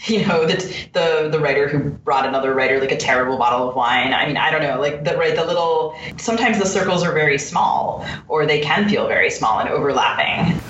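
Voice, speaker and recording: A woman's voice: a little fast, hardly any pauses, a bit bright, dull audio. A woman hosting a conversational literary podcast in a chatty manner, thinking aloud as she goes. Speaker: podcast host. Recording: roomy, distant mic, faint rumbling noise.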